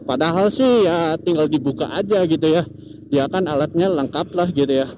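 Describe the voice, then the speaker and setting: Muffled audio with clear vehicle noise carries a man's fairly high voice. He speaks with a lilt, chatting casually like a motovlogger telling viewers a story on the ride. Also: normal speed. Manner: chatty, repetitive tag phrases, chuckles often. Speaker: motovlogger host. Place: outdoors, riding a motorcycle in traffic.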